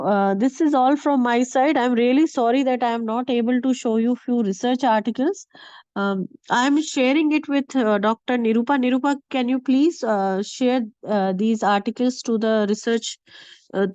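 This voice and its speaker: A woman lecturing over a video call, her voice hoarse from a heavy cold. She speaks in a halting way, full of fillers, in a somewhat high voice. The call audio is dry and dull. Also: a little mellow, no echo. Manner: hesitant, many fillers. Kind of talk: online lecture with Q&A.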